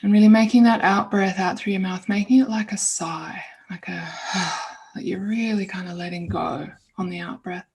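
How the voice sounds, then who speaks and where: A woman leading an informal guided meditation in a soothing, unhurried way, breathy and often trailing off toward a whisper. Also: medium pitch, fairly even intonation, darkish tone.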